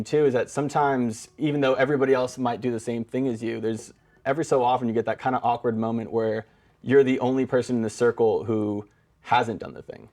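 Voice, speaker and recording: A man's voice: mid-range voice, a little mellow. A young man with an American accent, a guest songwriter telling stories in an informal, meandering way. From Brazil-born, raised in the San Francisco Bay Area. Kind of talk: casual storytelling.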